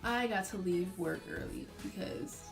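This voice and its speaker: A woman's voice: mid-range voice, unhurried, gravelly. Chatty young woman storyteller, music behind. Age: young adult. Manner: chatty, dramatic, acts out quotes. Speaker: vlogger telling a personal story. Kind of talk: casual animated storytelling to camera.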